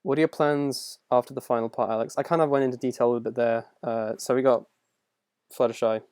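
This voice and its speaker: A man's voice: mid-range voice, fairly even intonation, fast talker, darkish tone, smooth, dry recording, clean studio sound. A young man casually answering viewer questions on a livestream, rambling and self-deprecating as he goes. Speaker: animator and video creator answering viewer questions.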